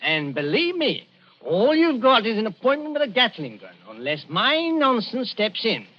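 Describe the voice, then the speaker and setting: A cheeky Cockney stage magician rattles off breezy showman's patter. His voice is high and bounces up and down. It sounds like an old, dull-toned 1940s radio broadcast. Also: huge pitch swings, moderate pace, exaggerated voice. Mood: confident. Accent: South London Cockney.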